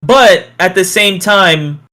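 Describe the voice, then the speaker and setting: Higher-pitched man, halting casual storyteller. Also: bright, forceful tone. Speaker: podcast co-host. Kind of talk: casual podcast chat, storytelling.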